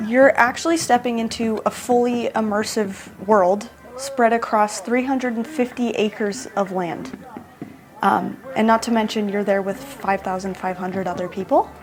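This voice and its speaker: A woman's voice: a little high, steady tone, measured pace. A woman vlogger talking casually to camera, chatty and self-mocking, often laughing in the middle of a sentence.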